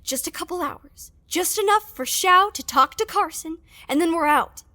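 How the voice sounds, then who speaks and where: A woman playing a bitter, distraught staffer trapped at a secret facility in an audio drama, halting and repeating herself. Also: extremely high pitch, bright, forceful tone. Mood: bitter, resentful. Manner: bitter, sweary, halting and repeating when overwhelmed. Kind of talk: audio drama acting, emotional monologue.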